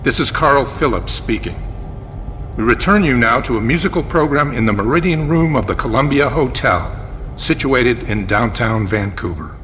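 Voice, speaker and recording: Man as brisk radio reporter, noisy sound. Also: medium pitch, expressive, close to an everyday voice. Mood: formal, brisk. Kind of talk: old-time radio announcing.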